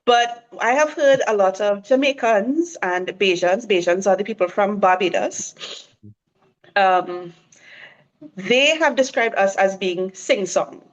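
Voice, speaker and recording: A woman's voice: mid-range voice, takes it slowly. Trinidadian woman guest, mid-range voice, eager explainer, muffled call audio. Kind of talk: casual conversation.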